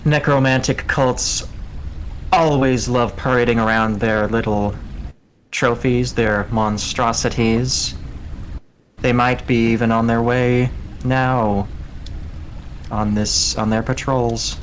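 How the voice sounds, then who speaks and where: A man acts out a courtly ratman devotee of plague in a tabletop fantasy game. He is self-assured and formal, choosing his words slowly at a middling pitch. There is light background noise on the recording. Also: fairly even intonation, natural voice, poor audio. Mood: polite warning. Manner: formal, measured, deliberate phrasing.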